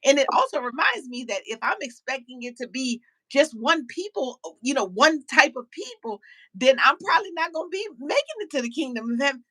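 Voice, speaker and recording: A woman hosting a casual panel talk show, animated and preachy, often repeating words as she starts a sentence. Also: high voice, fairly quick, dry recording, rough recording.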